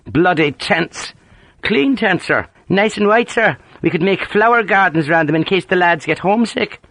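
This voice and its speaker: Casting note: Ulster sergeant voiced by a man, deadpan wit, pitched up high, close dry read. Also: normal speed, dry recording, exaggerated voice. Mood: amused. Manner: deadpan, dryly amused. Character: wry Irish army sergeant, deadpan joker.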